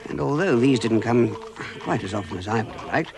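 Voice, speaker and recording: An actor playing a fussy, timid, reluctant little hobbit with an English accent and a flustered manner, in an old-fashioned radio drama. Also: male voice, medium pitch, expressive, fairly quick, creaky, a crowd in the background. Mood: wry, reflective. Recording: noisy vintage radio drama recording.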